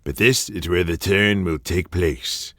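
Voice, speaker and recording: A domineering wrestling promoter who blusters and curses through every line, as a man puts him on. His voice sits very low and he talks slowly. The dry, close sound of an audio drama frames his bossy growl. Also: fairly bright tone, no room sound. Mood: scheming. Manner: blustering, swears heavily. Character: gruff aging male wrestling promoter, profane and domineering.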